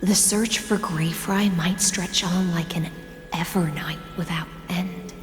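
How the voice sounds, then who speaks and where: An ancient, dignified lady of the waters speaks in a low, hushed voice. Her pace is slow, her manner formal and wise. Long reverb trails her in this game drama. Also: fairly even intonation, background noise. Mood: grave warning. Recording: echoey long reverb, faint music.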